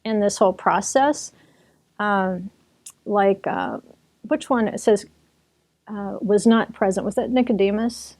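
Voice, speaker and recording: This is a woman with a mellow, warm voice explaining history in an easy, conversational way. She talks like a teacher chatting with a friend, restarting sentences and circling back as she goes. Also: medium pitch, measured pace, pauses often. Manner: chatty, with restarts and occasional laughter. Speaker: author and Bible teacher.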